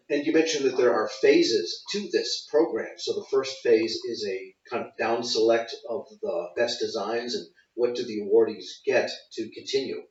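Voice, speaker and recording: A man interviewing in a conversational way, curious and plain-worded. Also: mid-range voice. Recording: echoey, distant, dull sound.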